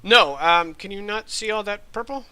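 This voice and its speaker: A man's voice: high-pitched, normal speed, sharp tone, clear, resonant tone. Nasal, congested man running a game. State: congested from allergies. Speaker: game master. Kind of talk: running a tabletop game online.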